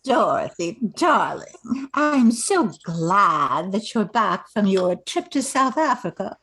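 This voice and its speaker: An older woman playing a genteel, meddling society mother in a radio comedy play, coaxing with theatrical, drawn-out phrases. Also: medium pitch, big pitch swings, a bit bright, slight background noise, heavily acted. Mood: coaxing, insistent.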